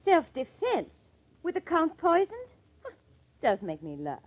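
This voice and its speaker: A woman playing a sharp-tempered, defensive actress suspected of murder in a 1950s radio mystery, dramatic and emphatic. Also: high voice, huge pitch swings, a little slow, exaggerated voice. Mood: shocked, defensive. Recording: muffled lo-fi old radio recording.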